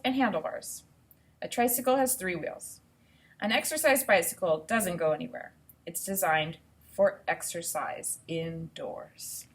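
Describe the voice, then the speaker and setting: A woman teacher reading aloud to children and thinking out loud, explaining things step by step in an instructive way. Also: medium pitch. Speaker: elementary school teacher.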